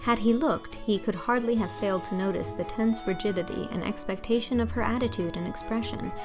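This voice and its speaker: A woman reading scripted audiobook narration, expressive and carefully phrased. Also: mid-range voice, gravelly.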